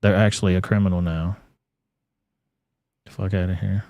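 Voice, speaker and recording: Deep, dark-voiced blunt commentator. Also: male voice, little pitch movement, normal speed, dry recording, lo-fi sound. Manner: blunt, profane, offhand. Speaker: talk show host. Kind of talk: commentary on clips. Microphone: studio mic.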